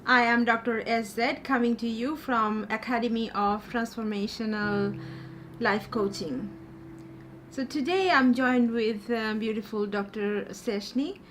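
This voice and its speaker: A woman's voice: fairly high pitch, steady tone, measured pace, a little hiss or hum. A woman hosting an interview for a coaching academy, asking questions hesitantly with many fillers and restarts. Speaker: interviewer, life coaching host. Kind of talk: hosted interview. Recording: music playing behind.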